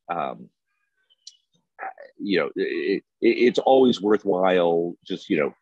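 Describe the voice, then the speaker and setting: A man answering interview questions as a film festival guest, speaking in a halting way with frequent repeated words. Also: low voice, husky, no room sound, low-quality recording. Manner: halting, hesitant phrasing with frequent self-repetition.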